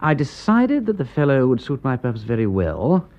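A breezy aristocratic English detective, clever and wry, drawling his old-fashioned slang with very lively intonation. It is classic British radio drama, with a dull, narrow sound. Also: medium pitch, normal speed. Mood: reading aloud. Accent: upper-class English.

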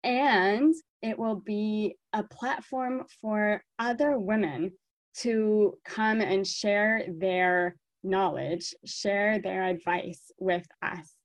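Slow-paced American woman hosting a podcast. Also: medium pitch, steady tone, no echo. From born in South Africa, raised in the United States. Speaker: podcast host. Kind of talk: solo podcast talk to listeners.

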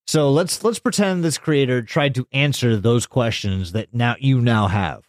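A man's voice: mid-range voice, unhurried, dry recording, studio quality. A man co-hosting a podcast in casual back-and-forth chat, jumping in with remarks and the odd swear. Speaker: podcast co-host.

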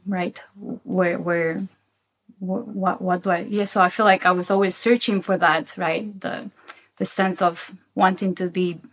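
A woman's voice: fairly low pitch, a bit bright. Reflective woman with Argentinian-tinged accent. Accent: Argentinian-tinged English. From Paraguay. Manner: reflective, many restarts and "right?" tags. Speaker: interview guest. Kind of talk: casual conversational interview.

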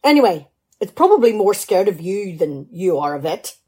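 A woman's voice: medium pitch, very animated, normal speed, mellow, breathy edge. A middle-aged woman, playing a shrewd, teasing, practical wife in a radio play. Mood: amused, practical. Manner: dry, bantering, probing. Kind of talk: amateur radio play dialogue.

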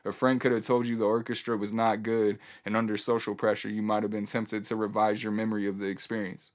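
A male podcast host with a fairly low voice and very little melodic movement. He delivers a solo monologue in a casual, laid-back way, dropping in hip-hop slang. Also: little pitch movement, quick pace.

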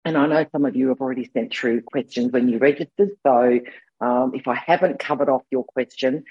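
An Australian woman in her sixties with a husky voice, hoarse from a cold, presenting a chatty online masterclass with rambling restarts over a video call. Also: a bass voice, a little fast, no room sound, lo-fi sound. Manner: warm, rambling, with frequent restarts.

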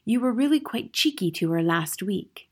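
A woman voicing a gentle female schoolteacher in a storybook, calm, polite and measured. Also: medium pitch, husky. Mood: calm, advising. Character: gentle, reasonable young female primary school teacher.

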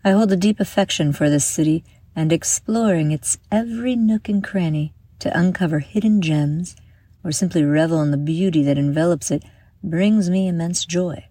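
A woman guiding a meditation from a script in a soothing, measured manner, with breathy exhaled phrases. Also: fairly low pitch, dark tone, dry recording. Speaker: meditation guide and host. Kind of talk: guided meditation, scripted narration.

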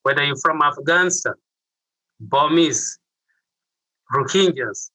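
A man's voice: a little high, slow pace, bright, forceful tone, dry recording, rough recording. A middle-aged man with a Ugandan accent, telling his story earnestly as an interview guest. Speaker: interview guest, youth mentor.

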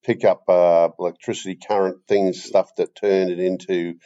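An older man with an Australian accent, rambling haltingly and deadpan as he hosts a comedy podcast chat, on a slightly dull recording. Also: low voice.

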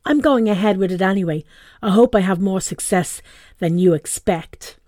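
A woman's voice: mid-range voice, normal speed, dark tone, no echo, studio quality, natural voice. A woman doing a politely reproachful friend. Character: hurt adult woman friend, polite but wounded.

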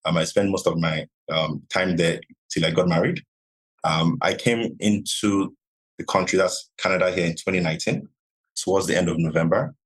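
A deep-voiced man from Nigeria speaks as a podcast guest, answering steadily and calmly. His tone is low and slightly husky, and he leans on "you know" often as he explains. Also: rough recording. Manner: measured, many "you know" fillers. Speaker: podcast guest, real estate investor. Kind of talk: conversational interview answers.

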